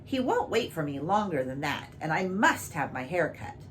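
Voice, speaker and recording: A woman's voice: sits low, very animated, moderate pace, a little hiss or hum. A woman voicing a vain, fussy king who blusters and commands in a grand, irritable manner. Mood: pompous, irritable. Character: pompous vain fussy king, man, middle-aged.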